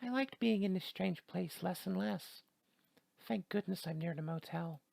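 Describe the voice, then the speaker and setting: Woman doing a game playthrough, lowish really fried voice, jokey sweary asides while reading. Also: a little slow, creaky, darkish tone, no echo. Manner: irreverent, joking, sweary. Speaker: video game streamer reading aloud. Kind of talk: game playthrough, narration mixed with casual commentary.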